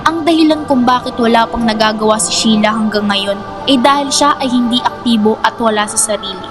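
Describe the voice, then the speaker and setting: A woman's voice: fairly high pitch, brisk, over music. A woman giving scripted narration for a radio drama.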